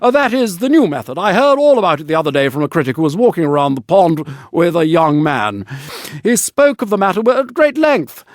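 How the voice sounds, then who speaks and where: Guy doing a snooty know-it-all water rat, high animated voice, animal fable style. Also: fairly quick, no room sound, studio quality. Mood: self-satisfied. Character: conceited, opinionated male water rat.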